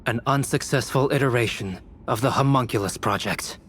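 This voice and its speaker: A composed, intellectual male alchemist from a game, voiced by an actor. His mid-pitched speech is measured and formal with cool condescension. Faint noise sits behind it. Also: fairly bright tone, close to an everyday voice. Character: calm, cerebral male alchemist exposing an impostor. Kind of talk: dramatic game cutscene dialogue.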